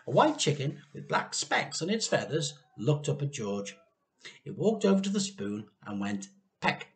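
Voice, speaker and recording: A man in his fifties reading a children's story aloud, animated and emphatic, drawing out key words. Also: on the high side. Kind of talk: expressive children's storybook narration. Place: small living room indoors.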